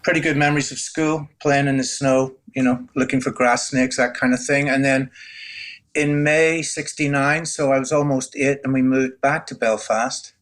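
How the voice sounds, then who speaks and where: A man in his sixties from Northern Ireland, a guest telling his life story in a relaxed, conversational way, full of "you know". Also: medium pitch, normal speed. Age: 60s. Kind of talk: candid storytelling.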